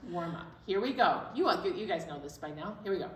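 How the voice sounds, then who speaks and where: A woman presenting a children's storytime in a playful, animated way, moving between chatty talk and sing-along. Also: fairly low pitch, fairly quick. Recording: very echoey room, distant mic, faint noise.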